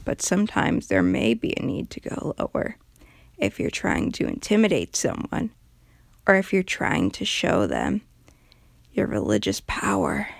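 A woman voicing a solemn, intimidating religious zealot in a low, quiet, emotionless monotone with measured delivery. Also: gravelly, no echo, studio quality, heavily acted.

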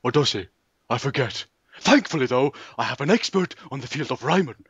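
A man playing an absent-minded, mock-serious documentary presenter in a comedy sketch, husky, pompous and deadpan. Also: medium pitch, a little fast, dry recording. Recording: dull, lo-fi voice recording.

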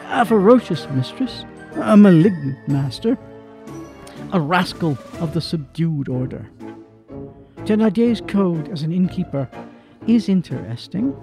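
Animated, theatrical male narrator. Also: sits high, measured pace, faint music behind. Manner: literary, theatrical. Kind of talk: dramatic scripted narration.

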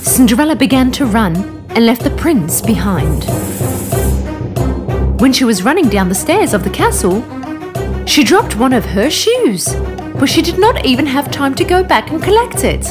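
A woman narrates a children's fairy tale in a slow, careful storybook reading. Her high voice swoops widely up and down. Soft music plays under her. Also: very animated. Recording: narration over background music.

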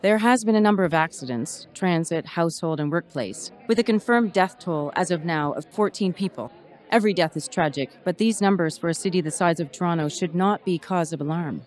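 Woman acting as tired emergency officer doing a formal interview, lowish voice. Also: brisk, darkish tone, close to an everyday voice. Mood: measured, official. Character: weary but dutiful female emergency management officer. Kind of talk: formal radio interview in an audio drama.

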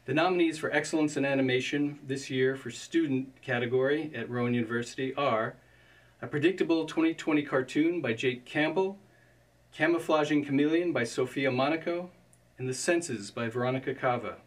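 A man's voice: medium pitch, fairly quick, far from the mic. A man in his fifties presenting awards, reading out nominees in a scripted presentation. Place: indoor studio with curtain backdrop.

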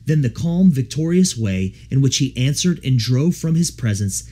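A man reading a devotional audiobook in an earnest, measured, formal way. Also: medium pitch, lively intonation, mellow. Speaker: audiobook reader. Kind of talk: scripted audiobook narration of a devotional text.

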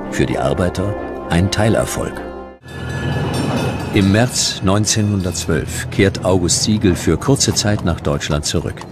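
Very deep-voiced male documentary narrator. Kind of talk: scripted historical documentary narration. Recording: music bed under the voice. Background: background music.

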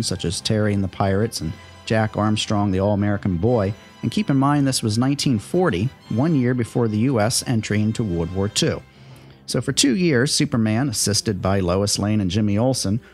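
Man narrating radio history over music. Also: medium pitch. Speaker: radio history segment presenter.

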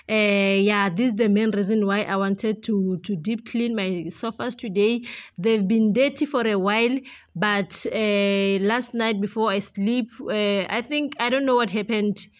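Slow-talking woman in a casual vlog. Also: medium pitch. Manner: hesitant, chatty, addressing viewers as guys. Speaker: vlogger, homemaker.

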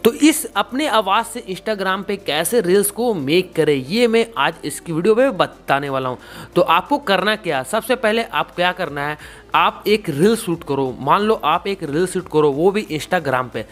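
A man's voice: sits high, animated, a little slow, a bit bright. A man in his twenties with an Indian accent, hosting a casual step-by-step tutorial in a repetitive, instructional way. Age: 20s. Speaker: YouTube tutorial host.

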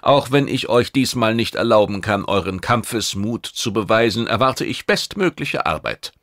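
A man voicing a commanding alien warlord who briefs his troops in a forceful, declamatory manner, for an audiobook. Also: on the low side, lively intonation, moderate pace, no echo, studio quality.

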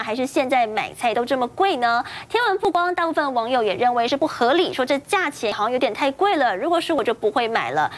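A young woman with a high voice anchors a studio newscast in a Taiwanese accent. She reads scripted stories with chatty asides, speaking through a face mask. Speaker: news anchor. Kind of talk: scripted news presenting, conversational asides. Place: TV news studio.

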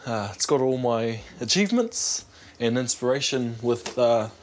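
A man's voice: mid-range voice, lively intonation, a little slow. A young man with a New Zealand accent speaking casually and earnestly, with some hesitancy, as an aspiring rugby player in an interview. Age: young adult. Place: small bedroom. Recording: faint background noise.

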